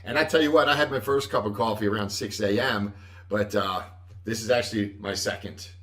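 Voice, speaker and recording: A man hosting a casual livestream chat, rambling and correcting himself with frequent chuckles, with a loud voice. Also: lowish voice, fairly quick, far from the mic. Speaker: host. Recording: echoey room, voice from afar.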